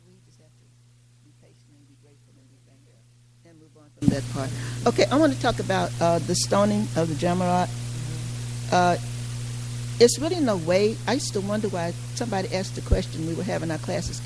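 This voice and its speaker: A woman's voice: lowish voice, expressive, a little fast, background noise. A woman speaking informally as a panelist, sharing her experiences with an audience in a conversational, explanatory way. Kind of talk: informal personal storytelling to an audience.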